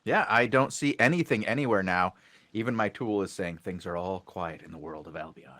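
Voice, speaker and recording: A man giving excitable live color commentary on an online game battle, alongside a co-caster. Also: brisk, no room sound. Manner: excitable, pitch jumps on big moments. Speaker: esports co-caster.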